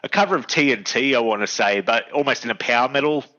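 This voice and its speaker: A man's voice: medium pitch, fast talker, pushed and bright. A young adult man, chatting in an agreeable, easygoing way and laughing often. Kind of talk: casual podcast chat. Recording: dull lo-fi mic.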